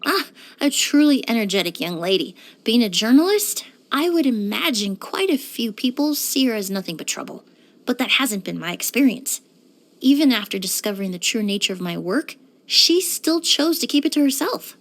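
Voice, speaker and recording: An actress voicing a poised young woman perfumer in a video game, speaking in a measured, articulate and refined way. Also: a little high, very animated. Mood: fond, appreciative. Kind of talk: scripted video game character monologue.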